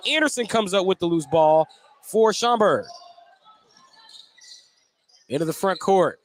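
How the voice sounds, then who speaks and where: A man calling live basketball play-by-play on the radio, getting excited on big plays. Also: high-pitched, measured pace. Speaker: play-by-play announcer. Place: basketball gym at a tournament.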